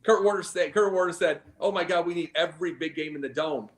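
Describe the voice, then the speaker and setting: A man with a slightly high voice argues sports on a casual talk panel. He rambles and repeats himself to drive his opinions home. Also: quick pace.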